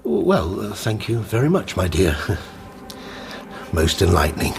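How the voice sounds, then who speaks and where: A man's voice: deep voice, sing-song, slow pace, creaky, noisy background, full character voice. An actor playing a polite, hesitant male patient in a radio drama. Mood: polite, amused.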